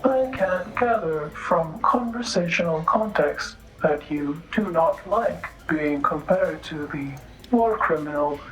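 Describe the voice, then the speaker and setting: Slow, formal android bartender. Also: female voice, low-pitched, animated, soft background music, poor audio. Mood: deadpan needling. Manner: formal, precise, literal, halting robotic phrasing. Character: polite, sly female android bartender, coolly literal and formal. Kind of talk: noir audio drama acting.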